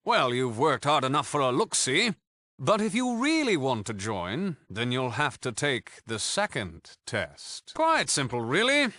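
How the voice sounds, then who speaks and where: A man's voice: mid-range voice, huge pitch swings, unhurried, sharp tone, no room sound, exaggerated voice. A man with a British accent playing a cocky, mock-polite thief gang leader in a video game, theatrical and sarcastic. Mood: breezy, charming.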